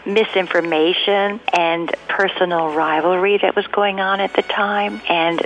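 A woman being interviewed as a researcher, explaining history off the cuff in measured phrases and searching for her words. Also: lowish voice, steady tone, a bit bright, highs cut off, soft background music. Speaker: interviewed researcher and radio drama writer.

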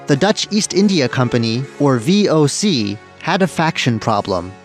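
A man's voice: mid-range voice, big pitch swings, normal speed, faint music behind. A man hosting a history radio program, reading scripted narration and putting questions to the listener.

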